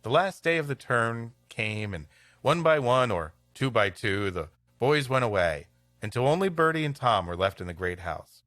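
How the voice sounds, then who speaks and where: A man reading a storybook tale aloud as a podcast host, in expressive narration. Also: mid-range voice, normal speed, dry recording, clean studio sound, soft background music.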